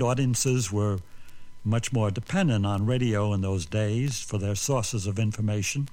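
A middle-aged or older man giving a formal, measured scripted introduction, looking back as a radio dramatist. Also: medium pitch, darkish tone. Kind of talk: scripted narration, reminiscence.

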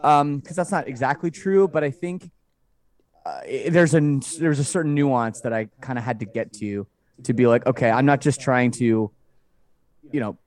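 A man's voice: mid-range voice, moderate pace, darkish tone. A man in his forties, a guest talking thoughtfully in a casual chat, often restarting sentences. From Canada. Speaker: guest musician.

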